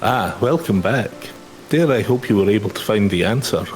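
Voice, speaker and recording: A man's voice: lowish voice, animated, moderate pace, soft background music, close to an everyday voice. A man voicing a courtly minstrel from a video game, gracious and flowery, eager to help. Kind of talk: video game dialogue read aloud in character.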